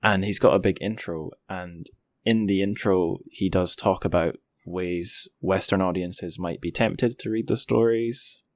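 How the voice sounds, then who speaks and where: A man with a low-pitched voice hosts a casual interview. He talks thoughtfully, feeling his way hesitantly toward each point. The sound is dry, with very little room in it. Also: fairly even intonation, unhurried, dry recording. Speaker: podcast host interviewer.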